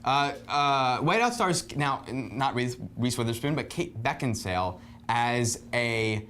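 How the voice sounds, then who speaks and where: A man hosting a film review show in casual conversation, often halting as he searches for his words. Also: medium pitch, animated, fairly bright tone, slight background noise.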